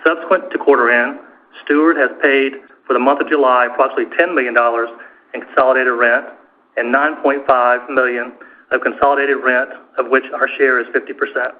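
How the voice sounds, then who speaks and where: Male finance executive, mid-pitched, scripted earnings-call read, thin telephone audio. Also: steady tone, fairly quick. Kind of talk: scripted corporate financial presentation. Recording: tinny, narrow phone-line conference call.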